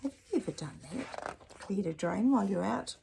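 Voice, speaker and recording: A woman's voice: mid-range voice, expressive, normal speed, gravelly, warm tone, slight background noise. A woman reading a children's picture book aloud expressively, with chatty asides and questions to young listeners.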